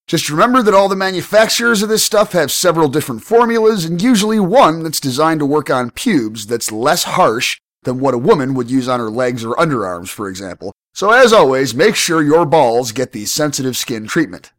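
A man's voice: on the high side, big pitch swings, brisk, few pauses, fairly bright tone, dry recording, studio quality. An American man hosting an advice podcast, reading out listener mail and answering bluntly with casual profanity, while sick from pneumonia. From United States. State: ill, recovering from pneumonia. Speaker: podcast host.

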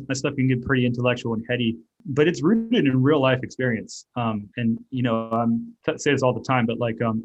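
A man, German his first language, talks at a middle pitch in loose, rambling sentences, circling back with fillers and restarts as he thinks aloud. The sound is a little muffled and lo-fi. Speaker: author and artist guest. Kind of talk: casual interview talk.

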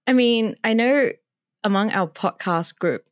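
A woman's voice: medium pitch, measured pace, no echo, clean studio sound. A young woman hosting a podcast, interviewing her guest in a casual, conversational style. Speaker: podcast host.

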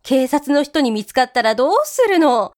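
A woman plays a strict, anxious mother in a voice drama. Her high voice moves up and down a lot, with blunt, resigned everyday phrasing. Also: expressive, normal speed, a little mellow. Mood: scolding, alarmed. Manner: scolding, blunt everyday speech. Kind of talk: scripted voice drama acting.

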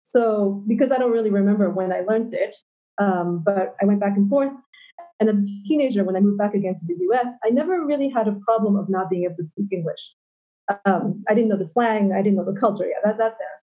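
A woman from Japan with an American accent, sharing her thoughts reflectively in a discussion forum, halting and restarting often. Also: mid-range voice. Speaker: forum participant. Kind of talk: casual personal sharing in a discussion. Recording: dull lo-fi audio.

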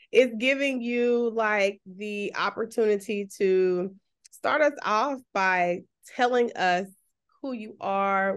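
A woman with a Texan accent hosting a podcast, chatty, restarting phrases and laughing often. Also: mid-range voice. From Texas, USA. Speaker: podcast host, therapist. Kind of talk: casual podcast interview.

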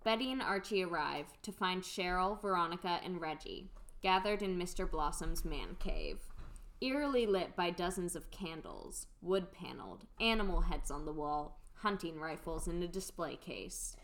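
A woman narrates a radio play from its script. Her voice sits a little low as she reads scene headings and stage directions. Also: expressive, normal speed, fairly bright tone.

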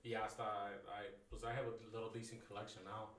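Man, about thirty, casual podcast guest, switches between Spanish and English, bright tone, echoey room. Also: fairly low pitch, fast, recorded from a distance. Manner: mixes Spanish and English, relaxed storytelling. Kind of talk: casual chat.

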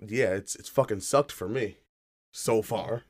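A man hosts a casual chat podcast with a tired, slightly raspy voice. He sits low in his range and talks in a loose, joking manner full of slang. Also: a little slow, noisy background. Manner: laid-back, joking slang. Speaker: podcast co-host.

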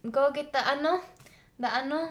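A woman's voice: sits high, normal speed, fairly bright tone. A young woman co-hosting a podcast, chatting casually, teasing and giggling, with lots of "like". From Filipino family background. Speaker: podcast co-host. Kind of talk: casual chat.

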